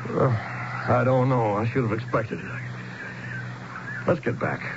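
An actor playing an uneasy, reluctant outsider in a 1970s radio drama, earnest and hesitant. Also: male voice, medium pitch, steady tone, a little slow, background noise, natural voice. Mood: hesitant, resigned. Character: Doug, uneasy, reluctant outsider exploring a strange phenomenon. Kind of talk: 1970s radio drama acting and first-person narration. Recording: muffled old radio broadcast, music behind.

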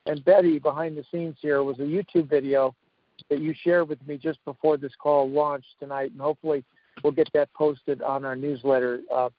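Halting male talk-show host. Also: on the high side, little pitch movement. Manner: halting, with many fillers and repeated words. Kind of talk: casual interview talk. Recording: dull lo-fi call audio.

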